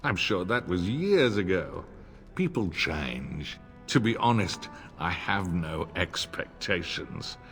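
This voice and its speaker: An actor playing a smug, middle-aged nobleman and war veteran in a video game, formal and sardonic, with a husky voice. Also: sits low, sing-song, takes it slowly, fairly bright tone, heavily acted. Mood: smooth, condescending. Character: older nobleman, old war comrade, smug, wry, insincerely courteous. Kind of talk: video game cutscene acting. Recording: long reverb, music underneath.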